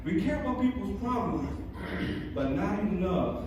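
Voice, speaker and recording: A man's voice: sits high, big pitch swings, a little slow. A man telling stand-up jokes in a chatty, rambling way, with many fillers and restarts, born in Massachusetts and raised in California. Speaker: stand-up comedian. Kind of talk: stand-up comedy set. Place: comedy club stage. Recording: echoey club room, distant mic, background noise.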